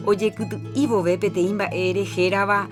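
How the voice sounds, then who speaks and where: A woman with a Paraguayan accent reading a scripted public health announcement in a measured, informative way. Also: medium pitch, steady tone. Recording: music bed under voice.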